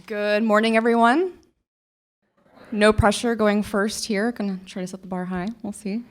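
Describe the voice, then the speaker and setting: A woman's voice: medium pitch, little pitch movement, normal speed, a little hiss or hum. A young woman from Oklahoma, a librarian giving a short conference presentation, talking earnestly and enthusiastically with small restarts. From Oklahoma, USA. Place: conference stage.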